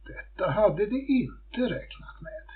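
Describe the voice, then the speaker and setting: A man's voice: high voice, very animated, slightly rough. A man reading a scripted folktale as a storyteller. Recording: muffled phone-like audio.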